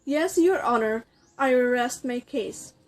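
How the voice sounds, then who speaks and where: A woman's voice: a little high, lively intonation, measured pace, low-quality recording. A woman playing an earnest circulatory system in amateur reader's theater, instructing and demonstrating with formal address.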